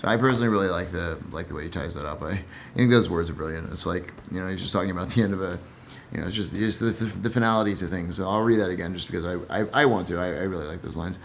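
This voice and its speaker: A man's voice: deep voice, very fast, slight background noise. A man who reads and comments on poetry in a casual, reflective way, somewhat hesitant and repeating himself.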